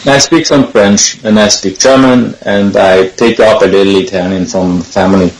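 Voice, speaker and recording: Low-voiced Swiss man on an echoey line. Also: steady tone, normal speed, a little hiss or hum. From Switzerland. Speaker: caller. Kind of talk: phone-in interview.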